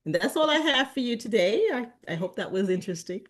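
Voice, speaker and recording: A woman's voice: a little high, lively intonation, fast talker. A middle-aged woman from Antigua speaks casually to a community gathering. Her talk wanders, broken up by frequent ums and restarts. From Antigua and Barbuda. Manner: meandering, with many fillers and restarts. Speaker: community presenter at an association meeting. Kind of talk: casual informal talk to a meeting.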